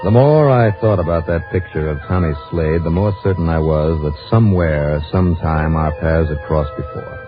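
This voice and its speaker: An actor playing a wry, tough insurance investigator in American 1950s radio noir style, crisp and deadpan. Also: male voice, very deep voice, normal speed, hardly any pauses. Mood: brisk, confiding. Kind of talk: 1950s radio noir acting, first-person narration. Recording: dull old radio recording with music.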